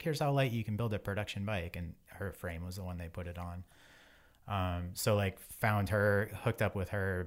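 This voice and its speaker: A man in his forties talking casually as an interview guest, in an informal, rambling way. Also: deep voice. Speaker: podcast guest, bike wheel company owner.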